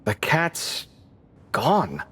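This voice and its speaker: A man voicing a sarcastic, excitable young scholar adventurer in a video game, speaking expressively. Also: mid-range voice, very slow, big-room sound, a little hiss or hum, full character voice. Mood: curious, wondering.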